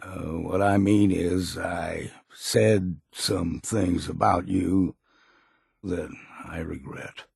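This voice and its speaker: An actor playing a grizzled old hard-drinking islander in a video game, with a gravelly, husky voice and a wry, folksy drawl. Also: male voice, low-pitched, steady tone, extremely slow, a little mellow, no room sound, clean studio sound, full character voice. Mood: sheepish, apologetic. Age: older. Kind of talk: video game character voice lines.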